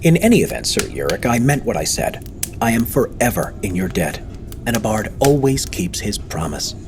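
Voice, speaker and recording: Old drunken bard played by a man: humble, grateful, theatrical, highly animated pitch, some background noise, fantasy storybook. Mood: earnest. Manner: breathless, halting, theatrical.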